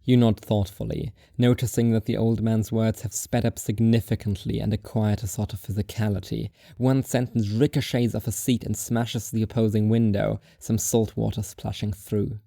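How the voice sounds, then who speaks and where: A man reads a second-person story in a measured, wry way. His voice is mellow and of middle pitch, recorded close and completely dry. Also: level tone, gravelly, dry recording. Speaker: narrator.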